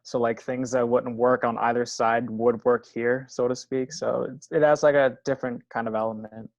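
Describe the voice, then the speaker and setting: A man's voice: medium pitch, flat delivery, moderate pace, gravelly, no echo. A man chatting casually as an actor on an online panel, over a dull-sounding video call.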